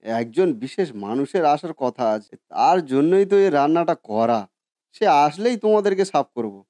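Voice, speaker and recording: A man's voice: on the high side, animated, fairly quick, warm tone, dry recording, lightly acted. A man voicing a genial, folksy village cook in a cartoon story, eager, reassuring and respectful. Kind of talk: Bengali cartoon story voice acting.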